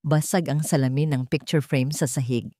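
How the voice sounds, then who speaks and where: Low-pitched Filipino woman narrating a story. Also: steady tone, normal speed, dark tone, dry recording, clean studio sound. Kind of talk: scripted dramatic story narration.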